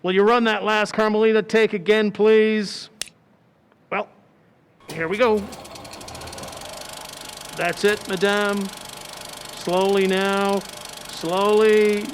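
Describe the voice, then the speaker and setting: Slow, high-voiced film director played by a man. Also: little pitch movement, a little hiss or hum. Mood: deliberate, apologetic. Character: fussy, deferential male film director, deliberate. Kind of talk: old-time radio drama acting. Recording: long hall reverb, faint music.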